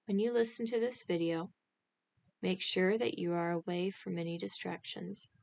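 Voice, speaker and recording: A woman leading a scripted guided meditation in a calm, instructional manner, speaking in short, spaced phrases. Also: fairly low pitch, normal speed, creaky.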